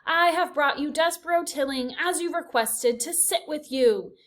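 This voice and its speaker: A woman voicing a pushy young male mouse who shouts his words. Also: fairly high pitch, wildly swooping pitch, a little fast, husky, heavily acted. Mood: bossy. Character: bossy older brother mouse, loud and impatient.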